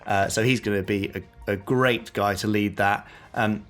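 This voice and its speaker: A man's voice: lowish voice, steady tone, normal speed, a bit bright, soft background music. A man co-hosting a podcast, casually chatting through news items. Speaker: podcast co-host.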